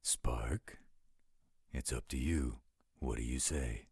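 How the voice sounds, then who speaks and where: A man playing a blunt, protective werewolf with a gravelly, raspy voice in a roleplay audio drama. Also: very deep voice, slow pace, a little mellow, dry recording, studio quality, full character voice. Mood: protective, measured. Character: gruff, protective male werewolf, laid-back with loved ones.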